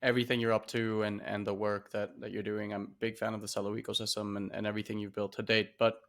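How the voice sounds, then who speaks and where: A man hosting an interview podcast, introducing his guest and asking relaxed questions with filler words. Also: on the low side, quick pace. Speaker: podcast host.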